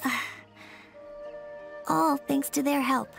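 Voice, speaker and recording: A shy, soft-spoken little boy in a fantasy game, voiced by a woman, with a gravelly edge and a slightly raised pitch, speaking in short, hesitant bits. Game music sits behind him. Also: fairly even intonation, takes it slowly, exaggerated voice. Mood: matter-of-fact. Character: quiet, shy young boy, the author's son. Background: game background music.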